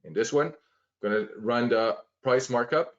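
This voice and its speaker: A man walking through planning software on a shared screen, explaining step by step with frequent fillers and restarts. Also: medium pitch, moderate pace, highs cut off. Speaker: software trainer.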